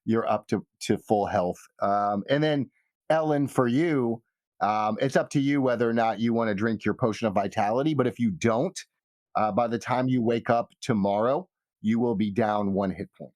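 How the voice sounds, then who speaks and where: A man narrating as game master of a tabletop game, improvising in a halting, measured way. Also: fairly low pitch, a little mellow, dry recording.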